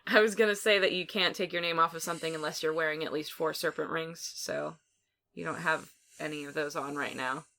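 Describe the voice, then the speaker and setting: This is a woman hosting a casual film podcast. Her voice is bright and sits a little low. She talks conversationally, rambling and rephrasing as she goes. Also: fairly even intonation, fairly quick. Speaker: podcast host.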